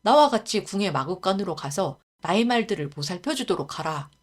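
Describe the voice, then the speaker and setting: A woman voicing a young prince who speaks calmly and graciously in a storybook reading. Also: medium pitch, a little fast, natural voice. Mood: kindly. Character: young male prince, calm and kindly commanding.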